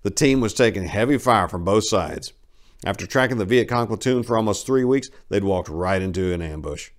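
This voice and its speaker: Low-voiced man, dramatic, widely swinging narration. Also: expressive, normal speed. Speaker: story narrator. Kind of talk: dramatic reading of war fiction.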